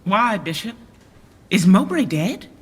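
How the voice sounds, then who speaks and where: An actor playing a commanding lord about to take the throne, with measured Shakespearean radio delivery. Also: male voice, high-pitched, very animated, slightly rough, exaggerated voice. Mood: solemn surprise. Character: commanding usurper lord about to become king. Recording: long reverberant radio drama sound.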